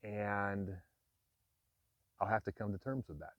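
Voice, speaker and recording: A man's voice: lowish voice, unhurried, dry recording, lo-fi sound. A man in his fifties talking candidly and reflectively to camera, frank and self-deprecating with occasional chuckles. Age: 50s. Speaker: vlogger. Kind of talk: candid personal talk to camera.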